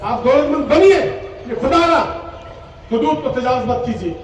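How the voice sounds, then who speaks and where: Male cleric, public religious oration, emphatic repetition, exhortatory, very high pitch, distant echoey sound. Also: lively intonation, moderate pace. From Poonch, Jammu and Kashmir, India. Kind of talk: impassioned Urdu public oration at a religious gathering. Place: large gathering, speaking from a stage. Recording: echoey public address, distant, faint noise.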